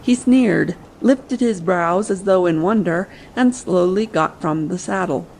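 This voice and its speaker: Creaky-voiced woman narrating adventure. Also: on the low side, measured pace, a little mellow, slight background noise, rough recording. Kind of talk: scripted adventure audiobook narration.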